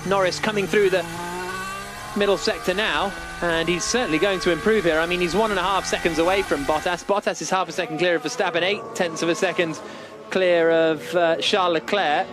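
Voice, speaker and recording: High-voiced male race commentator over loud backing. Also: fairly even intonation, brisk, over music. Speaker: motor racing commentator.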